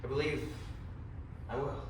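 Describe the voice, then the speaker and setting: A man's voice: mid-range voice, steady tone, a little slow, lightly acted. A man acting a heartless, tormented pirate captain in a theatrical, pompous stage style. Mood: subdued, relieved. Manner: theatrical, bombastic, pompous wording. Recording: echoey hall from far off, faint noise.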